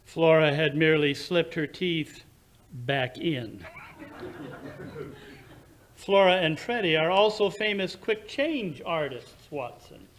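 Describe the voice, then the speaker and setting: Guy playing a brit detective in an old radio show, high voice, slow n lecturing, very animated. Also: a little hiss or hum. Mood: smug, instructive. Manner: deliberate, lecturing, theatrical. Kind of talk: old-time radio drama acting.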